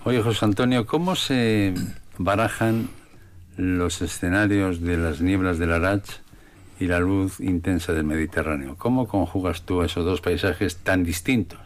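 A man's voice: deep voice, a little slow. A man hosting a radio interview, asking plain, inquisitive questions.

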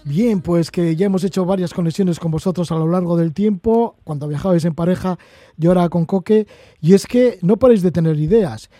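A man hosting a radio interview, with a high voice but a very dark, mellow tone. The sound is dry and close, like a studio mic. He asks friendly, leading questions. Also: a little fast, no echo, soft background music.

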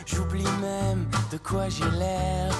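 A woman performing spoken-word poetry in a measured delivery. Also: mid-range voice, little pitch movement. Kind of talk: slow spoken-word poetry over guitar. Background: loud guitar music.

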